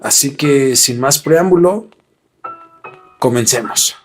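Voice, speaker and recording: A man hosts a podcast, reading scripted mystery narration with dramatic, deliberate phrasing. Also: mid-range voice, expressive, faint music behind. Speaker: podcast host.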